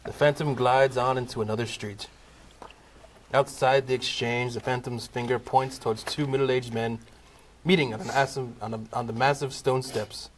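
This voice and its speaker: A man narrating the stage directions of an amateur comedy radio play in a plain, steady reading voice. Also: mid-range voice, moderate pace, a little hiss or hum, low-quality recording.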